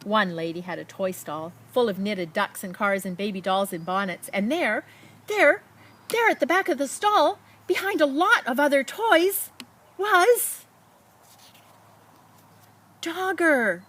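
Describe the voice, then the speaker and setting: A woman reads a children's picture book aloud expressively, with dramatic emphasis and pauses and casual asides to her listeners. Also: a little high, lively intonation, a bit bright. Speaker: storybook reader.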